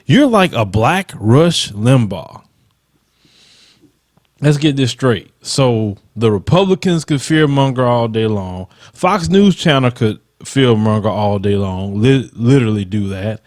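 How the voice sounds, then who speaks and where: A man with a Southern US accent and a faint lisp takes on his detractors one comment at a time, combative and emphatic. His pitch rises and dips animatedly as he presses each point. The sound is dry and close, with no room around it. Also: lively intonation, measured pace, a little mellow, dry recording. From Southern United States. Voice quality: slight lisp. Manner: combative, emphatic, reads comments aloud then rebuts. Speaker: channel host reading troll comments. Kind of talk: casual commentary.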